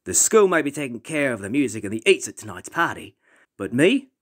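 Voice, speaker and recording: A woman voicing gruff, theatrical male comic characters, bossy and easily exasperated. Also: extremely low pitch, very animated, brisk, heavily acted. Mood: smug swagger. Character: gruff, exasperated father; swaggering teenage bully boy. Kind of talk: comic fandub acting.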